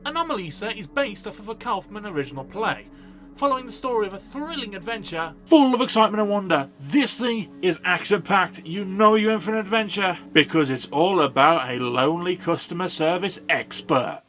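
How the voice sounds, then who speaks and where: A man's voice: high voice, lively intonation, brisk, fairly bright tone, faint music behind. A man narrating a scripted comedic film review in a sarcastic, sweary manner. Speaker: film review video host.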